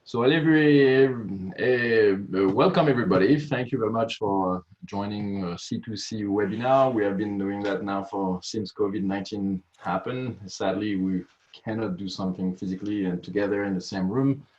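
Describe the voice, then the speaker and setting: Deep-voiced man hosting a video webinar. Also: a little mellow. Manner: halting, many filler pauses. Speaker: webinar host. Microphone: video call. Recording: muffled video call audio.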